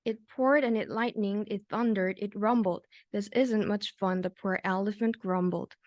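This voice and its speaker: A woman's voice: mid-range voice, fairly even intonation, moderate pace, no room sound. A young woman, a student, reading a rhyming children's story aloud. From South Korea. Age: young adult. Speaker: university student reader.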